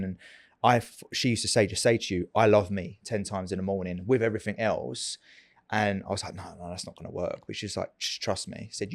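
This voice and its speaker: Low-voiced young man with London accent. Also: no echo, clean studio sound. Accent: Essex/London English. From England. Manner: earnest, repeats words for emphasis. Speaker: guest. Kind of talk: casual chat.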